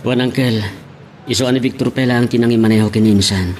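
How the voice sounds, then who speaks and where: A man's voice: medium pitch, steady tone, fast talker, darkish tone, a little hiss or hum, natural voice. A man with an Ilocano accent acting an earnest, respectful young family driver in a radio drama. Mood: earnest, defensive.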